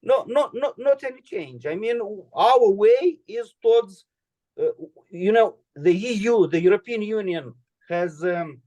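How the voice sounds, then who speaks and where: Romanian-accented man, high and hesitant. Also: darkish tone. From Moldova. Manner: hesitant, many fillers and restarts. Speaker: guest speaker. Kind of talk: answering student questions.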